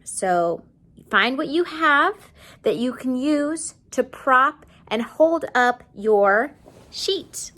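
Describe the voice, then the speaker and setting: Woman w a highish voice doing a kids how-to, really slow and simple, teacher style, keeps checking in. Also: fairly bright tone. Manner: simple child-directed talk with frequent check-in questions. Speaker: teacher demonstrating a craft for children. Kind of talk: step-by-step how-to demonstration for children. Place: indoors at home.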